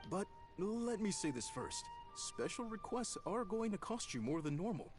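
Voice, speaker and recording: A proud, genial chef in a video game, pitched a little high for a man. The delivery is hearty and theatrical, with lively swings and music clearly behind. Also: moderate pace. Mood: firm, businesslike. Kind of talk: video game dialogue acting.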